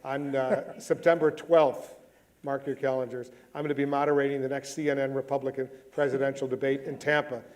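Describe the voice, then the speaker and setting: A man moderating a live panel, brisk and joking in his asides. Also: mid-range voice, level tone. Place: large auditorium with live audience. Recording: loud audience crowd around.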